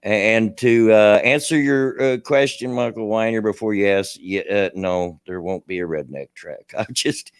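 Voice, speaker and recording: Low-voiced man with a redneck drawl. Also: moderate pace, few pauses, clear, resonant tone, no room sound, clean studio sound. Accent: Kentucky and Tennessee redneck. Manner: rambling, self-deprecating, laughs often. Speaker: livestream host. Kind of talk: casual livestream chat.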